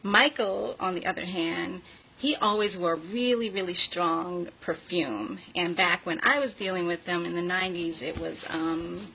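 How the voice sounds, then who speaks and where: A middle-aged woman telling casual, giggly anecdotes as a vlog host, acting out quotes in an animated way. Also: on the low side, a little slow, gravelly, low-quality recording. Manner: giggly, animated when quoting people. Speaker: vlog host and memoir author.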